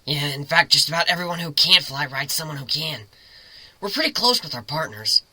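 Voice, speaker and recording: Woman playing a very sharp, breezy griffin pirate. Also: low voice, expressive, a little fast, breathy edge, no echo, heavily acted. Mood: reassuring, frank. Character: tough, casual female griffin pirate, blunt but kindly. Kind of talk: dramatic reading of a fan fiction story.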